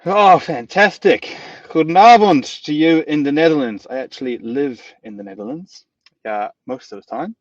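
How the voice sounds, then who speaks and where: A man's voice: fairly high pitch, expressive, normal speed, no echo, far from the mic, lo-fi sound. Chatty New Zealand man hosting a webinar. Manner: upbeat, chatty, frequent fillers and restarts. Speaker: webinar host.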